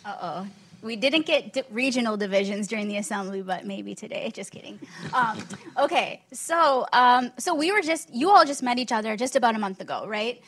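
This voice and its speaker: A woman's voice: on the high side, animated, moderate pace, fairly bright tone, a little hiss or hum. A woman moderating a panel discussion on a conference stage, friendly and joking. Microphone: handheld mic.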